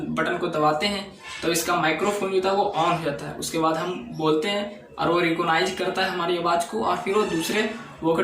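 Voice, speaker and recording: High-voiced man in an echoey room. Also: a bit bright. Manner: repeats and rephrases himself often. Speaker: tech channel presenter. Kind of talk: informal explainer narration. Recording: echoey room with background noise.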